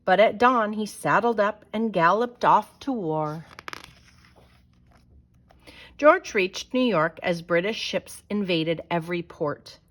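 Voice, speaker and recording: Woman, picture book narrator, slow pace, expressive and deliberate storytelling. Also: mid-range voice. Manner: dramatic, deliberate storytelling delivery. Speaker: storyteller reading aloud. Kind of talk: expressive children's picture book read-aloud.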